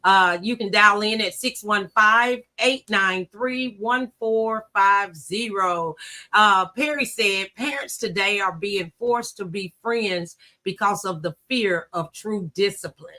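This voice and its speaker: A woman's voice: mid-range voice, takes it slowly, pushed and bright. A middle-aged woman hosting a talk show, speaking conversationally as she interviews and reflects.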